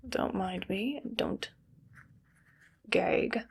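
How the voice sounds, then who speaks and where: Creaky, whispery evil female doctor, acted by a woman. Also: mid-range voice, drawn-out words, heavily acted. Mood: calmly menacing. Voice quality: soft, whispery, with creaky fry. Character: evil, vain, paranoid female mad doctor. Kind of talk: ASMR medical roleplay.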